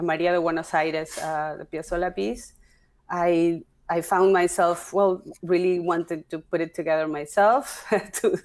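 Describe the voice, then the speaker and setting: Lady in her 40s, kinda low voice, stops and restarts a lot while she thinks, easygoing chat. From Argentina. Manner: halting, restarts often, thinking aloud. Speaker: guest, opera singer and stage director. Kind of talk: casual interview conversation.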